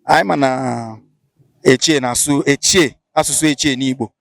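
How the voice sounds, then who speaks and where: A Nigerian man with an Igbo accent, hosting a field interview and talking in a lively way, mixing Igbo and Pidgin. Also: mid-range voice, normal speed, gravelly, no room sound, studio quality. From Imo State, Nigeria. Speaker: interviewer and show host.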